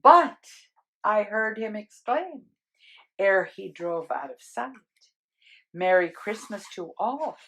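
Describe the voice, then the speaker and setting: An older woman reads verse aloud like a storyteller. Her pitch moves widely and expressively at a middle register. She is theatrical, using dramatic pauses and swinging between hushed and loud delivery. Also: animated, low-quality recording. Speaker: storyteller reading aloud to viewers. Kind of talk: dramatic read-aloud of a holiday poem.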